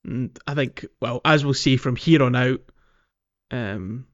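A man's voice: medium pitch, slow, lo-fi sound. A man co-hosting a gaming podcast, chatting casually in a rambling, jokey way. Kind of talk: casual chat about a video game.

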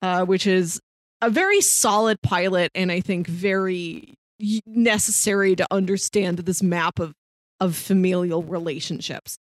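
A woman's voice: on the low side, steady tone, a little slow, dry recording, clean studio sound. A young woman talking casually about TV shows as a podcast host.

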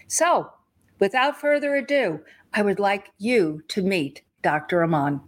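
A woman's voice: mid-range voice, measured pace. A woman hosting an interview show, welcoming her guest with repeated greetings and asking about his life story. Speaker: show host. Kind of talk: interview podcast.

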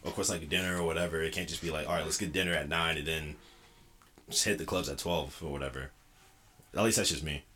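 A man in his twenties co-hosting a casual podcast chat, telling stories animatedly and laughing easily. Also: very deep voice. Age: 20s. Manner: animated storyteller, quick to laugh. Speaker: podcast co-host. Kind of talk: casual chat among friends.

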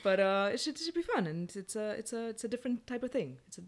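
A woman's voice: on the high side, very animated, fast. A woman speaking as a guest in a casual panel chat, loosely repeating phrases as she goes. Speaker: guest playwright and director.

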